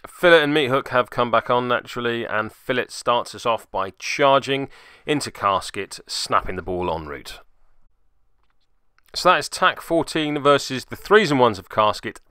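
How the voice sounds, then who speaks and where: A man's voice: mid-range voice, sharp tone, smooth, no echo, studio quality. A man narrating a casual play-by-play recap of a tabletop game as its host, with wry, self-deprecating asides.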